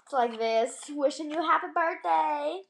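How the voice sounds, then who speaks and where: A woman's voice: high-pitched, normal speed, gravelly, noisy background. A young girl filming a house tour on a handheld phone indoors at night, rambling and playful with sudden exclamations. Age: child. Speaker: vlogger. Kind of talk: house tour vlog, casual chat. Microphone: handheld phone camera.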